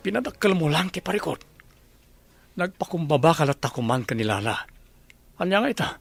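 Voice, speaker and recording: A man's voice: fairly high pitch, sing-song, brisk, vocal fry, slightly rough, dry recording, faint music behind, exaggerated voice. An actor playing a weary middle-aged father in a radio drama, scolding his daughter in a reproachful tone.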